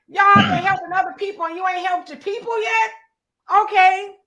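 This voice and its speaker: Bright-voiced woman hosting a live chat. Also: very high-pitched, normal speed, dull audio. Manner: lively, calls guests brother. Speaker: host. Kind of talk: casual live-stream chat.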